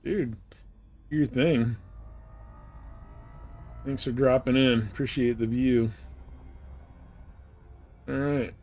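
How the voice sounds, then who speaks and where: A man's voice: medium pitch, steady tone, slow pace, long pauses, a little mellow, a little hiss or hum. A man giving casual live commentary as he streams a game.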